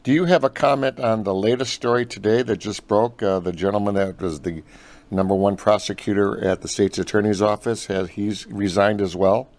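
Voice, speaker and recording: A man's voice: on the low side, steady tone, normal speed, a little mellow, slight background noise. An American man hosting a conversational phone interview in a friendly, familiar way. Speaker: interviewer, host.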